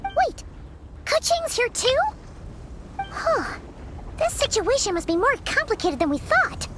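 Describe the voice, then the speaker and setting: Squeaky, excitable little fairy sidekick. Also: female voice, slow pace, over music, exaggerated voice. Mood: surprised. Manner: exclaiming, chatty, refers to herself by name. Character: tiny excitable childlike female fairy companion, blunt and curious. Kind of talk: video game voice acting.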